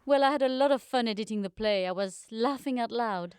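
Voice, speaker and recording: A woman's voice: fairly high pitch, fairly quick, a little hiss or hum. A woman conducting an interview, speaking in a conversational style as the interviewer. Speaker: interviewer, theatre artistic director. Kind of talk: interview questioning.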